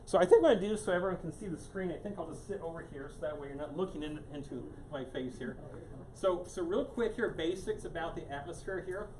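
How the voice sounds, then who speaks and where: A man's voice: on the high side, a little fast, dark tone. A man giving a casual live lecture to a small audience, restarting his sentences and tacking "here" onto almost every phrase. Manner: casual, repeats "here" constantly, many restarts. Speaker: guest speaker on climate science. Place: meeting room with a live audience. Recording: roomy, distant mic with background noise.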